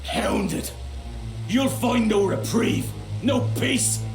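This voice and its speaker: An actor playing a vengeful enemy crusader in a video game, threatening in drawn-out words in an echoey space. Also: male voice, very high voice, creaky, exaggerated voice. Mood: menacing, vengeful. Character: menacing, vengeful male enemy crusader villain. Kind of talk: dramatic video game villain acting. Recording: echoey space with music underneath.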